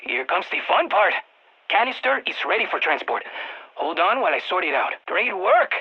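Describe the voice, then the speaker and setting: A woman voicing an eager, geeky field scientist in a video game, with a Latin American Spanish accent, talking over radio comms in an expressive, quirky way. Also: on the low side, moderate pace, slightly rough, muffled recording, heavily acted. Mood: upbeat. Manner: expressive, quirky, nerdy enthusiasm. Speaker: mission guide scientist.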